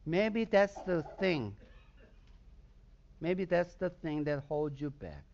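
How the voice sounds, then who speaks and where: A man's voice: on the high side, sing-song, takes it slowly, vocal fry, darkish tone, muffled recording. A pastor from Southern Sweden, a man whose first language is Swedish, preaching a sermon with a Swedish accent and emphatic bursts of intensity.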